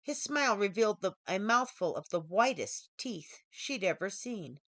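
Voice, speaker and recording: A woman's voice: mid-range voice, animated, moderate pace, a bit bright, no echo. A woman author reading her own short story as scripted audiobook narration.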